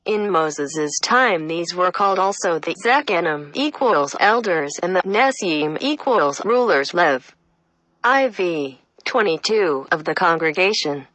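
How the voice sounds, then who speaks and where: A woman reading a reference text aloud, deliberate and flat, spelling out citations. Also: fairly low pitch, no room sound, low-quality recording. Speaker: reader.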